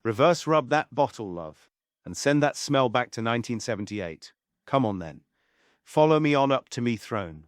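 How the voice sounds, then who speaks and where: A cheeky, swaggering showman from a stage comedy, played by a man. He teases and mocks in a medium-pitched voice with a dry, close sound. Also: expressive, normal speed, dry recording, close to an everyday voice. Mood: mocking. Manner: teasing, swaggering. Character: cheeky, mocking showman and mischief-maker Eli. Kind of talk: theatrical play table read.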